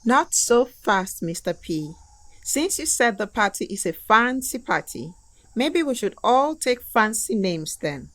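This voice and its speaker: A woman voicing a hungry, scheming male tortoise in a children's cartoon, in a wheedling, drawn-out way. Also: fairly high pitch, very animated, a bit bright, rough recording. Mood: crafty.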